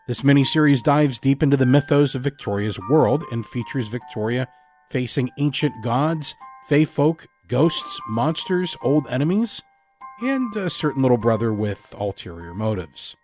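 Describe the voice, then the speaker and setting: A man's voice: mid-range voice, a little mellow. A man hosting an audio drama podcast, reading a scripted introduction to the episode. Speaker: podcast host. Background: music.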